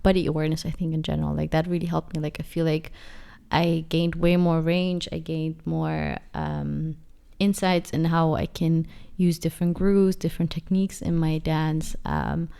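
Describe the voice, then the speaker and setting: A woman's voice: sits low, moderate pace, vocal fry, few pauses, dark tone, smooth. A woman in her twenties talking casually in an interview, reflective and full of fillers as she searches for words. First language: not English. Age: 20s. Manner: reflective, many fillers and restarts. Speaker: dancer and guest.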